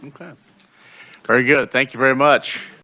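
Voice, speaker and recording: A man chairing a legislative committee hearing. He runs the hearing in a relaxed, conversational way with some fillers. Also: mid-range voice, normal speed. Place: state legislature committee room.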